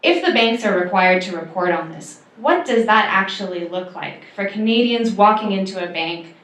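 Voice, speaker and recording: A woman's voice: medium pitch, animated, normal speed, a bit bright. A woman lawyer giving earnest, emphatic panel remarks, with some fillers. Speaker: civil liberties lawyer on a panel. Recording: echoey room.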